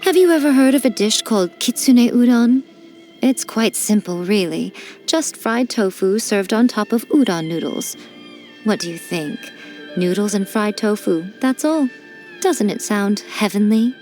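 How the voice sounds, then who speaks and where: A woman's voice: medium pitch, animated, unhurried, a little mellow, faint music behind, lightly acted. A woman voicing a mischievous fox-spirit shrine priestess in a game, playful and teasing. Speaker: game character. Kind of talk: studio game voice lines.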